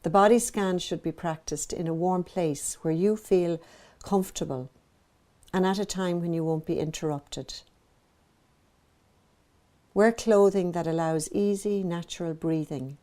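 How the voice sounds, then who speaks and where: Woman guiding meditation in a dark, mellow voice. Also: on the low side. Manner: gentle, measured, soothing, with pauses between phrases. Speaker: meditation teacher. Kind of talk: guided meditation narration.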